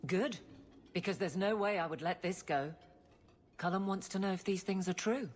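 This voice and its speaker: An actress in a video game playing a stern, proud guard captain who speaks bluntly and firmly. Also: female voice, on the low side, moderate pace, natural voice. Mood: resolute. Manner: blunt, firm.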